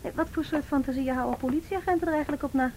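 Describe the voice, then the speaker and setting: A woman's voice: sits high, rapid-fire, background noise. A young woman acting in a radio play as a cynical, argumentative student activist, in naturalistic dialogue. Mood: mocking.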